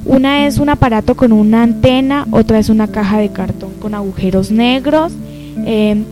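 A woman's voice: on the high side, moderate pace, over music, natural voice. A young woman acting as a curious girl searching for answers in an amateur radio drama. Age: young adult.

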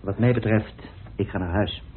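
Man playing a low-voiced, guarded suspect. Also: steady tone, moderate pace, lightly acted. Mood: defensive. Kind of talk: radio play acting in Dutch. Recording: dull, noisy old radio recording.

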